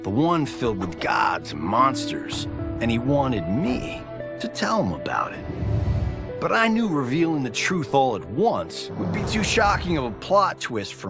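A man's voice: mid-range voice, exaggerated voice. An actor playing a cocky, vain action-movie star, narrating his story in a breezy, showy, self-satisfied way. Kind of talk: casual scripted game narration. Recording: studio voice over music.

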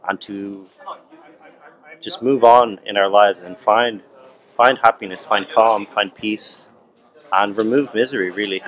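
A man's voice: on the low side, fairly bright tone, low-quality recording. A young man, speaking thoughtfully outdoors beside a building. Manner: thoughtful, hedging with "like" and "I mean". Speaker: retreat participant. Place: outdoors by a building on a sunny day. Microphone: camera at close range.

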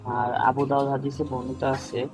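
A man's voice: medium pitch, monotone, normal speed, close to an everyday voice, rough recording. A young man playing a friendly, earnest student who explains religion to his friend in scripted cartoon dialogue, with music behind. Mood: earnest, instructive.

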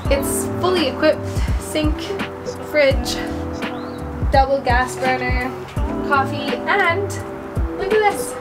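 A woman's voice: high voice, slow pace, pauses often. Chatty young woman giving a house tour. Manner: chatty, enthusiastic, lots of "like". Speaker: travel vlogger. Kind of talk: casual house-tour vlog. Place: holiday cottage, partly outdoors. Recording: loud music under the voice.

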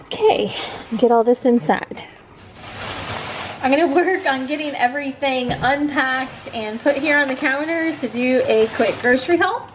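A woman in her thirties chats to a camera at home, upbeat and loose, peppering her talk with "like" and "um". Her voice sits a little above the usual pitch for a woman. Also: a little slow, noisy background. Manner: chatty, upbeat, filler-heavy. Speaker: vlogger. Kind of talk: casual haul vlog. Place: home bathroom and kitchen, indoors. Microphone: camera on tripod, then handheld.

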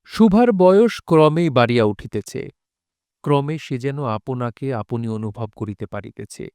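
A man narrating literary prose for an audio drama in a solemn, measured, dramatic way. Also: medium pitch, animated, a little mellow, no room sound.

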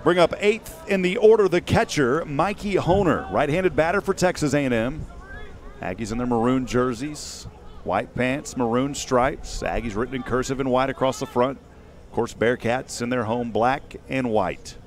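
A man's voice: medium pitch, normal speed. Male baseball play-by-play announcer outdoors. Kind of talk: live sports commentary. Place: outdoors at a windy ballpark. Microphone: headset boom mic.

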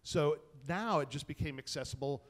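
Man, fairly high voice, informal and enthusiastic panel talk, echoing hall. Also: normal speed. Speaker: panelist, tech content creator. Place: indoor event space before a small audience. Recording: reverberant room, panel event.

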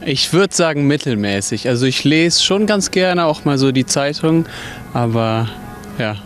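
A man's voice: medium pitch, lively intonation, a little slow, a little hiss or hum. A man answering a street interview in a casual, hedging way. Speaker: passer-by.

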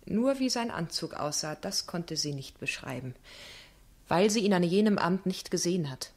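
Young female defence lawyer for radio drama: slightly low, determined, businesslike. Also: lively intonation, moderate pace, a little mellow. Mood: intent.

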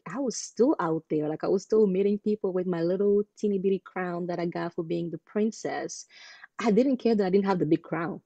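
A young woman, originally from Congo, tells her story with earnest energy on a video call. Her voice sits fairly low and mellow, and the dull, lo-fi call audio sounds dry with no room echo. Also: husky. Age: 20s. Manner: earnest, animated storytelling with many fillers. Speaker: guest, pageant titleholder. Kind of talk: casual interview over video call. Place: home room indoors.

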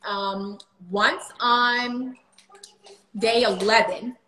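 A woman's voice: fairly high pitch, fairly even intonation, extremely slow, bright, forceful tone, reverberant, slight background noise. A woman in her thirties chatting casually on a livestream at home, answering viewer questions with lots of fillers. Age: 30s. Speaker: vlogger. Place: home kitchen. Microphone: phone camera at table.

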